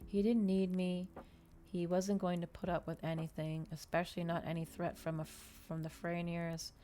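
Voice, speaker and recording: A woman's voice: on the low side, flat delivery, moderate pace, mellow, a little hiss or hum, close to an everyday voice. A woman voicing a sneering, domineering male vampire in a story reading, scornful and commanding. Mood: scornful urging.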